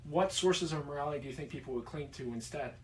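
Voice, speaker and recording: A man poses questions in a loose, offhand way and swears casually as he goes. His pitch is ordinary. A faint hiss sits behind his voice. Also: fast, recorded from a distance. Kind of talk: casual discussion.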